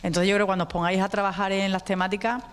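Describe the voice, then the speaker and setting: A man's voice: high-pitched, level tone, rapid-fire, a bit bright, clean tone. A man on a panel giving earnest advice. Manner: earnest, advising. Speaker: panel guest. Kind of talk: panel discussion.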